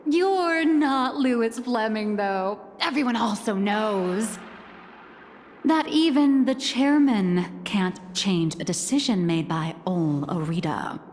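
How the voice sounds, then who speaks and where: A woman's voice: medium pitch, takes it slowly, darkish tone, slight background noise, natural voice. An actress playing a teasing, self-assured woman of influence in a video game, with a playful, mocking delivery. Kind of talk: dramatic video game voice acting. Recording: long reverb, faint music behind. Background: faint music.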